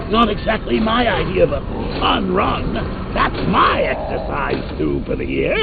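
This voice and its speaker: An actor playing a manic, theatrical clown supervillain in a video game, cackling and taunting with playful wordplay. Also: male voice, high-pitched, huge pitch swings, unhurried, creaky, heavy background noise, exaggerated voice. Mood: gleeful. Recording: tinny lo-fi game audio with loud music.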